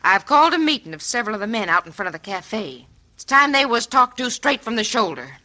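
No-nonsense pioneer wife, very bright forceful tone, old-time radio drama. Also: female voice, on the high side, big pitch swings, a little fast. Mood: resolute. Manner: plain, no-nonsense. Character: Julie, plain-spoken resolute frontier wife.

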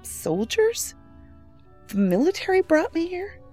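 An actress playing a shaken but assertive federal agent held as a patient in an audio drama, speaking with halting urgency. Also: female voice, extremely high pitch, big pitch swings, a little mellow, soft background music, exaggerated voice. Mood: urgent, demanding. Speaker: actor.

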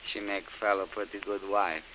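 A man's voice: fairly low pitch, little pitch movement, normal speed, pushed and bright, low-quality recording. An actor playing a burly, brutish, gloating henchman who blusters in broken pidgin English in an old radio adventure serial. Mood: leering, scheming. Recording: hissy old radio recording.

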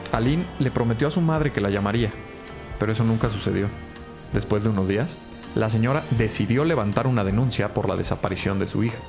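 Creaky-voiced, formal male analyst. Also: lowish voice, quick pace, over music. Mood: factual, composed. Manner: precise, formal, unemotional. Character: formal, factual police analyst reporting case findings. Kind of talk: audio drama acting.

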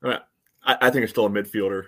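A man co-hosting a sports podcast, giving his views on soccer in a measured, matter-of-fact, conversational way. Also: lowish voice, fairly even intonation, bright, forceful tone. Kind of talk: casual soccer chat.

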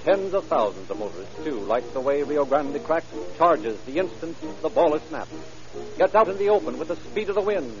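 Male vintage radio announcer, slightly high voice, polished folksy selling, muffled recording with music. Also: big pitch swings, normal speed. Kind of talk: 1930s radio commercial announcing. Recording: dull old radio recording, music behind.

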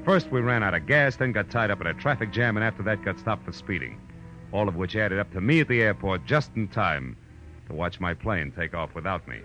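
An American actor playing a hard-boiled, wisecracking private eye with wry, clipped delivery in a 1940s radio drama. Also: male voice, on the low side, expressive, a little fast, bright, forceful tone. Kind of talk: 1940s radio detective acting, first-person narration. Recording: muffled old radio recording, music behind.

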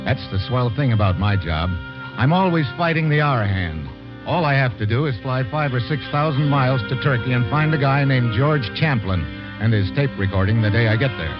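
Tough, wry male troubleshooter played by an actor, vintage radio drama, animated inflection, music behind. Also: medium pitch, fairly quick, highs cut off, natural voice. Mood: wry, hard-boiled. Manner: wry, wisecracking delivery. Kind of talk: 1950s radio drama acting and first-person narration.

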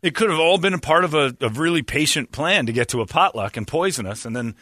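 A male radio host with a bright, forceful voice, chatting in a lively storytelling way. He speaks close to a studio microphone, and the sound is dry and close. His tone is loose morning-show banter. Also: a little high, fast talker, dry recording. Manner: animated storyteller who slips into voices.

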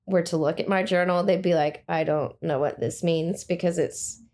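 Young woman, quiet low voice, soft and hesitant. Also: steady tone, normal speed. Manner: soft-spoken, hesitant, many fillers. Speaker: guest. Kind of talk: casual conversation.

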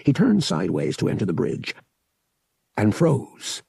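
Husky man narrating an adventure story. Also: lowish voice, huge pitch swings, a little slow, dark tone, no echo, clean studio sound. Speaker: audiobook narrator. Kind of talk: dramatic scripted narration.

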